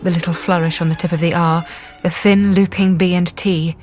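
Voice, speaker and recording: A woman's voice: on the low side, flat delivery, fairly quick, darkish tone, soft background music, natural voice. An actress playing an earnest, troubled woman psychiatrist, tender but guarded in a radio drama. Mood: hushed, brooding.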